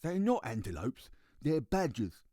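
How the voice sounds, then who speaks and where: Storybook big brother, played by a man: boyish, raised pitch, sensible, dry, patient. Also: big pitch swings, unhurried, warm tone, no echo. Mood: dry, sure.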